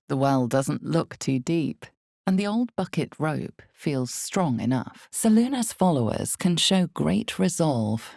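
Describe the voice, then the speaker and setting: A woman voicing a grave, ominous fantasy game narrator, with a gravelly voice and a measured, dramatic delivery in scripted second person. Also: low voice, darkish tone, no echo, clean studio sound, full character voice. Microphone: close studio microphone, dry.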